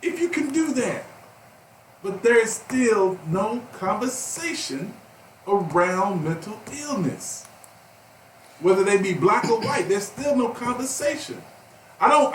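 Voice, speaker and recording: A man's voice: high-pitched, sing-song, moderate pace. A man of fifty co-hosting a talk radio show, passionate and emphatic, rising to outbursts. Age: 50s. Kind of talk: heated talk radio discussion. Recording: roomy, slightly distant, faint background noise.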